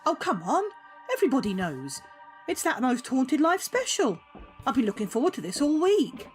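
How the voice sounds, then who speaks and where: A woman plays an exasperated, bossy mum in an audio drama. Her voice is fairly high and very animated, with a scolding, everyday turn of phrase. Music is audible behind her. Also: moderate pace. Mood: excited. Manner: scolding, colloquial. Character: bossy, exasperated mother of a grown daughter.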